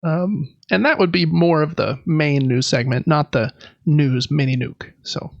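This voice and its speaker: A man's voice: fairly high pitch, a little slow, gravelly, no room sound, highs cut off. A man hosting a podcast, chatting casually and informally through gaming news. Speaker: podcast host. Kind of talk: casual video game news talk.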